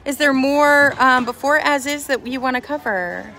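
A woman's voice: high voice, normal speed, bright, forceful tone. A woman hosting a casual shopping vlog at an outdoor market booth, gushing over the items with short admiring exclamations. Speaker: host.

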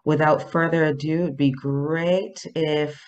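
A woman professor moderating a conference interview over a video call, clear and organized as she introduces the guest and panel. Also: low voice, steady tone, slow, a little mellow, lo-fi sound. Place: room with a colorful painting behind. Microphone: video call, earphones.

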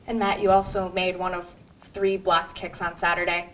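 A woman's voice: on the low side, level tone, moderate pace, a bit bright, slight background noise, rough recording. A young woman hosting a student sports show in a small TV studio, reading a scripted intro and questions in an upbeat manner on a lapel mic. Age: young adult. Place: small TV studio set at a desk.

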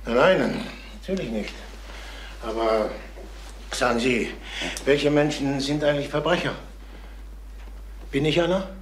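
A distraught salesman near sixty, played by a male actor in a crime radio play. He broods and halts, repeating his words in a mid-pitched voice, with faint noise behind him. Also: natural voice. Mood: defensive, flustered. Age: late 50s. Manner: halting, repeating himself, brooding. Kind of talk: German crime radio drama acting.

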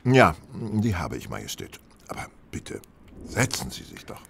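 Audio drama detective: male, confident and wry, low voice with hugely swooping intonation. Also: wildly swooping pitch, creaky, fairly bright tone, husky, heavily acted. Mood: brisk. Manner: brisk, assured, theatrical. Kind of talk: radio play acting in German audio drama.

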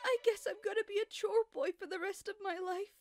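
A woman's voice: extremely high pitch, fairly even intonation, fairly quick. A woman voicing a timid, servile young chore boy in a manga dub, nervous, stammering and apologetic. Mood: timid, anxious. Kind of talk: anime-style manga dub acting. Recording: music behind the voice.

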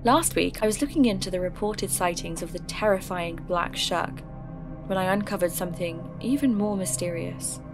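Woman narrating over music. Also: fairly low pitch. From England. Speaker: podcast narrator. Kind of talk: scripted narration. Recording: clear music under the voice.

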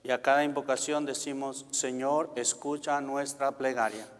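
A man, a priest leading Mass, reciting prayers in a solemn, measured liturgical style. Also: mid-range voice, little pitch movement. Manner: solemn, measured, formulaic delivery. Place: large church sanctuary, indoors. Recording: reverberant church, background noise.

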